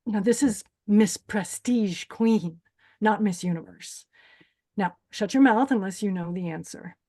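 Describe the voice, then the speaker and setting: A woman's voice: mid-range voice, a little slow, dark tone, natural voice. Woman acting a brusque, sinister pageant host. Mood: commanding. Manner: commanding, dismissive. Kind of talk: screenplay table read.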